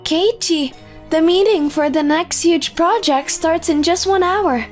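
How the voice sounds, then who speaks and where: Young female secretary, manga dub, acted by a woman: very high voice, modest and encouraging, music underneath. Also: unhurried. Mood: playful, encouraging. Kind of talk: scripted manga dub acting.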